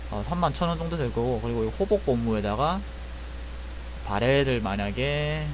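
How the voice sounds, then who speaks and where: A man's voice: mid-range voice, moderate pace, slight background noise, poor audio. A young man casually explaining a repair job, thinking aloud and correcting himself as he goes. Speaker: airsoft gun technician. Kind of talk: casual spoken tutorial.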